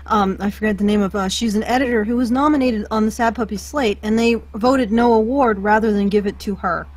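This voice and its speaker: A woman's voice: medium pitch, a little fast, darkish tone, no echo. A woman hosting a podcast who talks in a hesitant, filler-heavy way, interviewing a guest casually. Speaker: podcast host.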